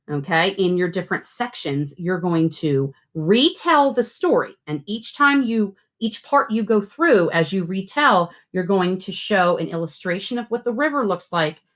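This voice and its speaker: A woman reading aloud as a teacher to schoolchildren, with expressive, deliberate phrasing. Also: lowish voice. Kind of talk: read-aloud storytelling and simple instructions for children.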